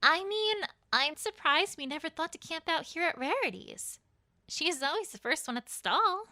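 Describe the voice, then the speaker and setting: A woman's voice: high voice, sing-song, moderate pace, pushed and bright, no room sound, clean studio sound, full character voice. A woman voicing an earnest, friendly young unicorn mare in a cartoon fan story, chatty among friends. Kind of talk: cartoon fan fiction voice acting.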